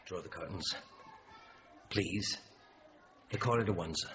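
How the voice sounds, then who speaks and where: A male hostage taker gives clipped orders in a radio drama with eerie politeness. His voice is low and very slow. The sound is dull, with clear noise behind it. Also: fairly bright tone. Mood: polite, controlled. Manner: clipped, halting, courteous commands. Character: masked male hostage taker, quietly menacing, oddly polite.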